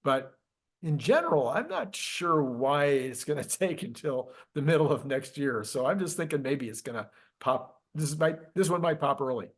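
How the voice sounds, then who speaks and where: A man hosting a casual interview, posing questions and musing out loud about his own hunches. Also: fairly high pitch, lively intonation, moderate pace. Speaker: host interviewer. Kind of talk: casual chat.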